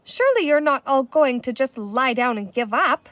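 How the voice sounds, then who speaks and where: Storybook girl, played by a woman: bossy, exasperated, firm scolding delivery, highish and animated. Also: normal speed, no echo. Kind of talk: children's storybook character voice.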